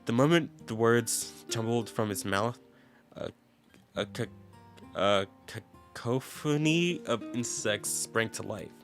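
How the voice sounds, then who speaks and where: A man's voice: lowish voice, a bit bright. A man reading game text aloud as a dramatic narrator in a let's play. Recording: background game music. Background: game music with plucked guitar.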